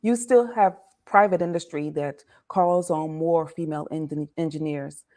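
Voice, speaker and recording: A woman in her forties from Washington, D.C., talks through her story as an interview guest. Her voice sits fairly low, and she speaks in a measured, reflective way, letting many sentences settle softly at the end. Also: steady tone.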